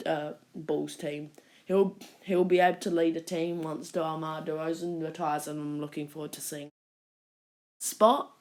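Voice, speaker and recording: Low, croaky-voiced woman ranking players. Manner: hesitant, many restarts and fillers. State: sore, croaky throat. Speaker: basketball channel host. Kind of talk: casual ranking commentary to camera.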